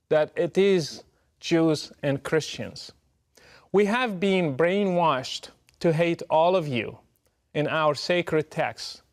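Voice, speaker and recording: Higher-voiced man with a Moroccan Arabic accent. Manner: earnest, emphatic, measured rhetorical delivery. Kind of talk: prepared political address to camera.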